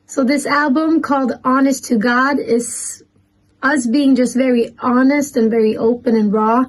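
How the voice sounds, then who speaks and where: A woman's voice: high-pitched, steady tone, measured pace, rough recording. A woman from Sweden with a Swedish accent, a musician talking eagerly in an interview. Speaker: musician guest.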